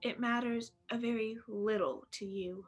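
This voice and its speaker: A woman's voice: a little high, fairly even intonation, unhurried, soft background music. A young woman playing a sorrowful, dignified fiancée in a radio play. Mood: sad, reproachful.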